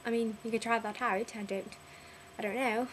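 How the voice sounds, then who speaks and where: A young woman putting on a British accent, gushing and rambling excitedly in a casual video diary. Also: on the high side, moderate pace, slight background noise. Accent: put-on British. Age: young adult. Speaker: vlogger.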